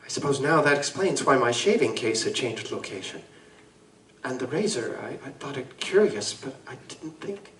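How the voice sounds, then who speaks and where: A man playing an anguished Victorian gentleman scientist in a live audio drama, speaking formally, eloquently and deliberately. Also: medium pitch, sing-song, slight background noise. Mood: troubled, regretful. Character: anguished gentleman scientist confessing to a monstrous alter ego. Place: live convention performance in a room. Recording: roomy live stage recording, distant mic.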